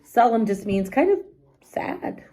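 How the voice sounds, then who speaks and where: A woman reading a children's story aloud expressively, pausing to explain things simply to young listeners. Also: fairly high pitch. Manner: animated, unhurried, explains words simply to children.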